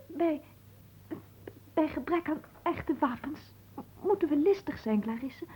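A woman's voice: sits high, expressive, a little slow, darkish tone. An actress playing a scheming young noblewoman, coy and dramatic, in a radio play. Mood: conspiratorial. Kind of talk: theatrical radio drama acting.

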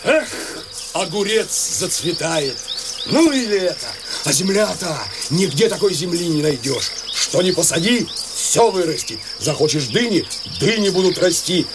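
A man's voice: sits high, sing-song, a little slow, noisy background. An actor playing a boisterous, bragging middle-aged country father in a radio play, talkative and insistent, full of exclamations. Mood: enthusiastic. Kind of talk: Russian radio play acting.

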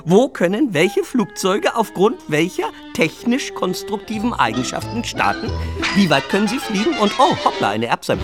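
Actor doing obsessive conspiracy nut, radio play, pitch jumps all over, theatrical muttering, loud music behind. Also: very animated, normal speed, close to an everyday voice. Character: obsessive conspiracy theorist, fussy counter and breathless storyteller. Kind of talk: satirical radio play acting.

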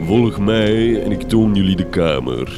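A man's voice: on the low side, lively intonation, measured pace. An actor playing a pompous, secretive villainous father in a gothic horror comedy radio drama, declaiming theatrically and grandly. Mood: grandiose. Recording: loud music under the voice.

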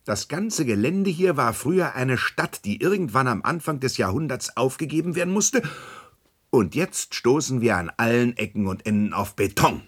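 An actor in a German radio play, playing a boastful, scheming animal park director, effusive and emphatic, with hesitations and repeated words. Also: male voice, mid-range voice, animated, moderate pace, lightly acted. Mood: matter-of-fact, exasperated.

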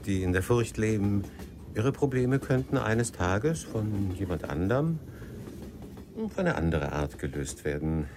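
An actor in a German radio drama playing an evasive, secretive middle-aged husband who also narrates, in a dry, wry manner. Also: male voice, on the low side, sing-song, a little slow, noisy background. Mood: controlled, guarded.

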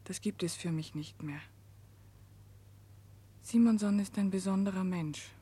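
An actress playing a resigned but firm young Russian woman prisoner in a German radio play, husky and hushed. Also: mid-range voice, unhurried. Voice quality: husky, breathy. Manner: hushed, half-whispered, restrained.

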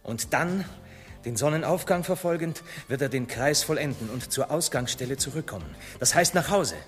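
An actor playing an eager, stubborn young explorer in a theatrical radio play, explaining with fervour. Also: male voice, fairly high pitch, lively intonation, moderate pace, close to an everyday voice. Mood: rapt. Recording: long hall reverb, music behind.

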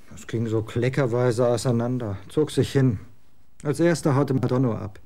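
A scornful, slangy young man of twenty, played by an actor in a German radio drama. His voice is gravelly and dark, and he talks in blunt street slang that quickly turns excited. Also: mid-range voice, lightly acted. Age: 20s. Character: young man, 20, moody rocker son, scornful.

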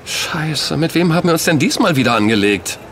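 An actor in a German audio drama playing an easygoing, excitable, cheeky sidekick with a casual, slangy manner. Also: male voice, on the high side, brisk, slight background noise. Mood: excited, cheeky.

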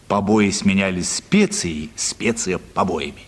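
Narrator for radio drama: man, low and husky, expressive literary delivery, reverberant hall sound. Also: unhurried.

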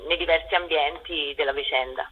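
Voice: mid-range voice, fairly even intonation, brisk, husky, phone-quality sound. A young theatre director answering interview questions hesitantly, searching for words as they go.

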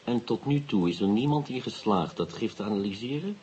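A man playing a probing investigator in a radio play, deliberate and questioning, with a dry sardonic edge. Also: lowish voice, lively intonation, a little mellow, husky, highs cut off. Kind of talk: radio drama dialogue.

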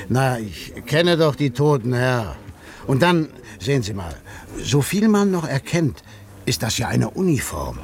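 Wry old village gravedigger, folksy and matter-of-fact, slow, gravelly, for radio drama. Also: male voice, medium pitch, sing-song. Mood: dry, amused. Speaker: actor playing a gravedigger. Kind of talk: German radio drama acting.

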